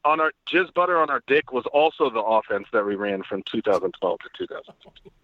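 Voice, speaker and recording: A man speaks quickly over a muffled, phone-like connection with very little room sound. He banters casually about sports as a guest journalist, mixing crude jokes with mock-serious analysis. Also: lowish voice, lively intonation, no echo. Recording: muffled phone-like line, dry.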